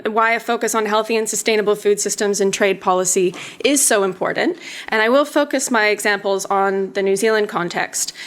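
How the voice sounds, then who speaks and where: Canadian woman speaking on a panel, earnest and keen, a few ums. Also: mid-range voice. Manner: earnest, enthusiastic, some fillers. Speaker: panelist, health researcher. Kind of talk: conference talk.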